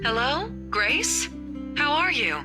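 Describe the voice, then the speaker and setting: A woman playing a tired, gentle middle-aged mother in visual novel dialogue, speaking with a weary, hesitant lilt. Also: high-pitched, big pitch swings, slow, heavily acted. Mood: warm, weary. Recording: guitar music behind. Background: guitar music.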